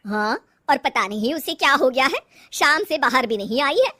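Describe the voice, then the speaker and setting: A woman voicing a loud, bossy older mother-in-law who orders her daughter-in-law around. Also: high-pitched, expressive, moderate pace, exaggerated voice. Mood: warm.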